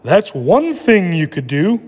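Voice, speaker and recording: A man reading a storybook aloud, playing a calm father who gently questions and encourages his young son. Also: high voice, slow, breathy edge, exaggerated voice. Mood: encouraging. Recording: echoey room with long reverb.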